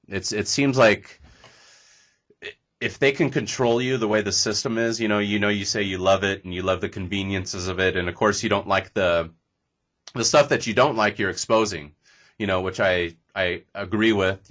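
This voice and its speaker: A man's voice: deep voice, fairly bright tone, lo-fi sound. A man from California hosting a podcast, rambling loosely with casual swearing. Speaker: podcast host.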